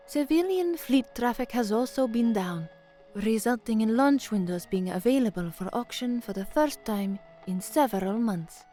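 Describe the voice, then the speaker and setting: A young working-class woman in an audio drama, a trainee newsreader with a fairly high voice. She is earnest and anxious beneath her careful delivery, over a noisy ambient background. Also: darkish tone. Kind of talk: audio drama acting with first-person narration.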